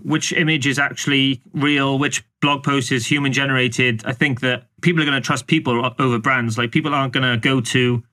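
A man's voice: mid-range voice, fairly even intonation, fairly quick, few pauses, pushed and bright. A man speaking as a podcast guest in a casual, musing tech discussion.

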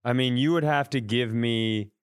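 Smooth-voiced wry man cracking jokes. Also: medium pitch. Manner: wry, quick joking. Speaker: podcast co-host. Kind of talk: casual podcast banter.

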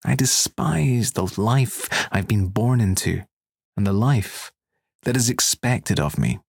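A man's voice: on the low side, lively intonation, takes it slowly, creaky, a little mellow, breathy edge, no echo, studio quality. A man working as an audiobook narrator, reading a scripted first-person erotic story.

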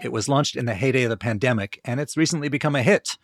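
A man's voice: medium pitch, fast talker, no echo, clean studio sound. A man with an American accent hosting a podcast in a conversational style. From Washington, D.C., United States. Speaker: podcast host.

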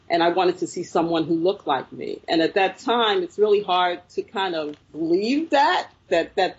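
A woman's voice: lowish voice, darkish tone, breathy edge, dull audio. A woman filmmaker answering interview questions, telling her story reflectively with frequent fillers. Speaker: filmmaker guest.

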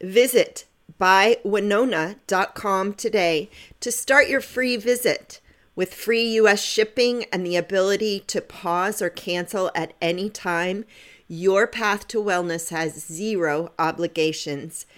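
A woman co-hosting a podcast, reading a sponsor message with slow, deliberate delivery. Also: mid-range voice. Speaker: podcast co-host. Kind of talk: reading an ad read.

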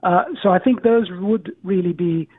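Man, professor type, slow careful answers w lots of uhs, sounds like a phone call, dry. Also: high-pitched, slightly rough, no echo. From Israel. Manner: measured, frequent "uh" pauses. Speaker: guest professor of politics. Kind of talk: interview answers. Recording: tinny phone-like remote line.

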